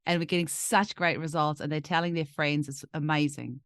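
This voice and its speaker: A woman's voice: low-pitched, fairly even intonation, fairly quick, smooth, no room sound, clean studio sound. A woman hosting an interview, affirming her guest with enthusiastic interjections. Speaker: podcast host and homeopath. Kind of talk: conversational interview.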